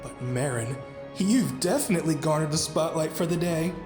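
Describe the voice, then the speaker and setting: A man plays an analytical male anime fighter who explains things in a composed, measured way. The voice rings with echo and sits over clearly audible music. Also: a little high, lively intonation, close to an everyday voice. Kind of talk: anime fan-drama acting. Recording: echoey, with clear music behind.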